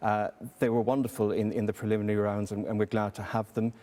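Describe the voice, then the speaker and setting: A man's voice: lowish voice, level tone, fast talker, dark tone. A man on a competition jury, interviewed and answering in a hesitant way, often repeating words. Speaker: jury member, hall director. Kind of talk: interview chat.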